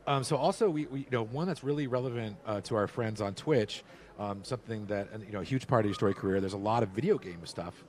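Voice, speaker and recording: A man's voice: fairly low pitch, darkish tone. A man hosting a convention interview, asking questions with fan enthusiasm. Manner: eager fan, quick asides and laughs. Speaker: interviewer host. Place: outdoor convention floor.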